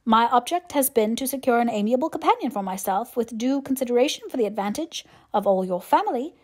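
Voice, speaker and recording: A woman's voice: a little high, expressive, quick pace. A self-important young clergyman played by a woman holds forth with stiff formality. The delivery is stately and long-winded, in the manner of a classic novel read aloud. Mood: stiff displeasure. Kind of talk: audiobook character voice.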